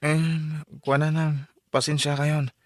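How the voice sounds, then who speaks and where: Actor as a sheepish, higher-voiced young man. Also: level tone, measured pace, no room sound, studio quality, natural voice. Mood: apologetic. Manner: hesitant, deferential. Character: sheepish young college student son, apologetic to his mother. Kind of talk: radio drama acting.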